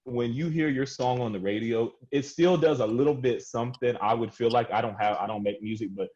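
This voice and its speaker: A man's voice: mid-range voice, fairly quick, a little mellow, dull audio. A man hosting a music podcast round table, speaking in a slangy, conversational way with casual swearing. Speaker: podcast host.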